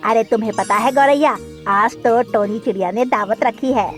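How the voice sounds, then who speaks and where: A woman's voice: on the high side, normal speed, faint music behind, voice pushed down, lightly acted. A woman voicing a friendly, easygoing male pigeon in a cartoon story, casual and amiable. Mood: sociable.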